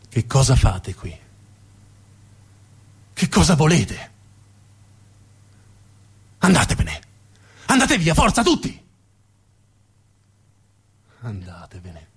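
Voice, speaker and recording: Man acting arrogant, embittered businessman, swinging wildly in pitch. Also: breathy edge, exaggerated voice. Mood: angry, dismissive. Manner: dramatic, commanding. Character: Max, arrogant, embittered, cynical businessman scarred by war.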